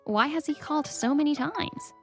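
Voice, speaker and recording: Woman playing a high-voiced, earnest young mother. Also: moderate pace, music in the background. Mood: earnest narration. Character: protective, indignant young mother and wife, narrating. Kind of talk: scripted story narration, manga dub acting.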